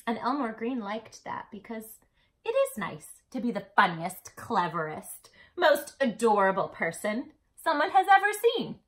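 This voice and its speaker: Bright, expressive woman hosting children's storytime. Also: on the high side, a little slow. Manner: affectionate, expressive, emphatic storyteller delivery with questions to the listener. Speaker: children's read-aloud host and tutor. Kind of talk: warm storytime talk addressed to young children.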